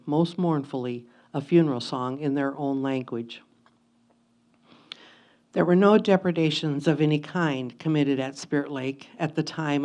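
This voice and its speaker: A woman giving a solemn, measured dramatic reading of a first-person historical memoir. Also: deep voice, a little mellow. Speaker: reader of historical letters in reader's theatre.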